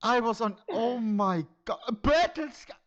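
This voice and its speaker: A man in a short video clip, talking excitedly and exclaiming. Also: extremely high pitch, a little slow. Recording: lo-fi clip with faint music.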